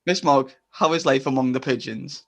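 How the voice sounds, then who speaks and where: A man's voice: mid-range voice, normal speed, slight background noise, natural voice. A man playing a wry, straightforward podcast interviewer in a comedy audio drama.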